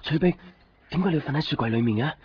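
A man's voice: fairly high pitch, expressive, racing, a little hiss or hum, natural voice. An actor with a Hong Kong accent playing a mild, easygoing young shopkeeper who tells his story in a radio drama. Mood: alarmed, concerned. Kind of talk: radio drama narration and dialogue. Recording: dull 1990s radio drama recording. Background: prominent background music.

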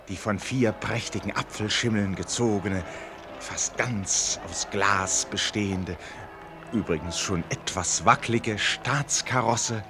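An actor playing an eloquent storyteller in a radio drama, narrating in a florid, ceremonious and wry manner. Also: male voice, lowish voice, background noise. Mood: hushed, wry. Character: eloquent, wryly festive storyteller of a royal novel. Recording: music behind.

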